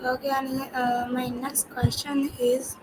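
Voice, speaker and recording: Young student interviewer woman, high voice, little melody, hesitant delivery, background hiss and room noise. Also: little pitch movement. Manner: halting, stumbles and restarts words. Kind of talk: reading prepared interview questions. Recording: noisy home ambience.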